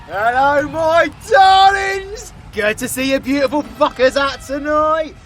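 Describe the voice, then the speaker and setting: A man's voice: extremely high pitch, slow, a bit bright, a little hiss or hum, heavily acted. A British man playing a cocky, foul-mouthed warlock villain in an audio roleplay, with a brash, theatrical manner and crude slang. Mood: gleeful showboating.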